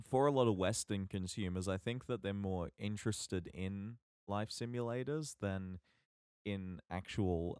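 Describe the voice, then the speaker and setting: A man co-hosting a podcast in casual chat, halting as he searches for his words. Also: deep voice, darkish tone, no room sound, clean studio sound. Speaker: podcast co-host.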